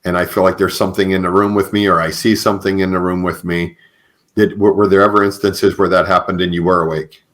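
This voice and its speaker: Male podcast host, low register, informal conversational interviewer. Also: flat delivery, fast, fairly bright tone. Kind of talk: casual interview chat.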